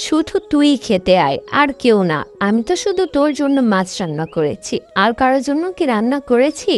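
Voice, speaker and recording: Woman as high-pitched, sharp-tongued village mother. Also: brisk. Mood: scolding. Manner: scolding, expressive.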